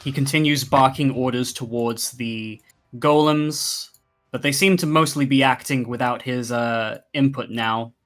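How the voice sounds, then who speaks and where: A man's voice: medium pitch, measured pace, fairly bright tone. A man narrating combat as game master of a tabletop roleplaying game, speaking casually. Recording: background music under the voice. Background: music.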